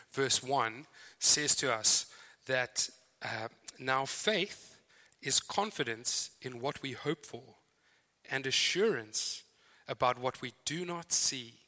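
A man's voice: medium pitch, sharp tone. A man with a Zimbabwean accent, preaching a sermon as a visiting speaker in a friendly, chatty way with fillers and asides. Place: church hall. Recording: church hall reverb.

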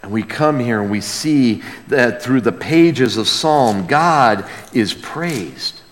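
A man preaching a sermon as a pastor, earnest and emphatic, moving between hushed lines and loud exclamation, with rhetorical questions to the congregation. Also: medium pitch, animated, takes it slowly. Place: large reverberant room, preaching to a congregation. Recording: long hall reverb.

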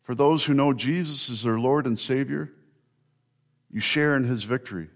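A man preaching an Easter sermon as a pastor, earnest and warm in delivery, sometimes building to emphatic repetition. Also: mid-range voice, moderate pace.

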